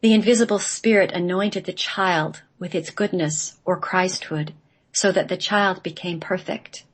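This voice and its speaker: A woman reading radio play narration in a measured, solemn manner. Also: fairly low pitch, slightly rough. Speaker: guest, playwright reading own play.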